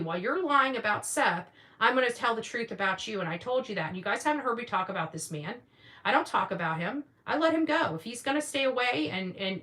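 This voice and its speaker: A woman's voice: on the high side, bright, forceful tone. A woman speaking in a casual, opinionated monologue to viewers, blunt and combative, often repeating phrases for emphasis. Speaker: online commentary host.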